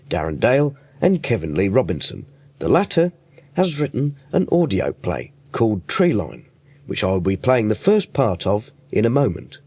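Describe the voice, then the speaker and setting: A man's voice: mid-range voice, darkish tone, dry recording. A man presenting a radio programme, reading scripted links between items.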